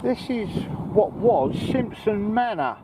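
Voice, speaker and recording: A man's voice: high voice, lively intonation, takes it slowly. A man chatting casually and a little hesitantly to camera as he walks outdoors in a park. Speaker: vlogger. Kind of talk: casual chat to camera while walking. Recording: noisy outdoor recording with wind.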